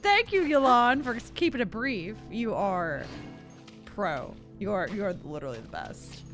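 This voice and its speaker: A woman's voice: medium pitch, sing-song, normal speed, faint music behind. A woman game streamer giving casual, playful, slangy and giggly commentary on her playthrough.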